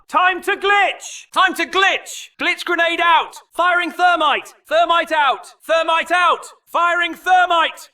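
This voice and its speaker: A flamboyant, theatrical video game fighter, very high in pitch and piercingly bright. He shouts short, exuberant battle callouts with punchy energy. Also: exaggerated voice.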